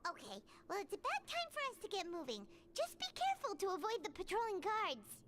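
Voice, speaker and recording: A woman voicing a small, childlike fairy companion in a video game, squeaky and chatty, easily worried and speaking of herself in the third person. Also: huge pitch swings, a little fast, very noisy, exaggerated voice. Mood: eager. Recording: loud game music behind.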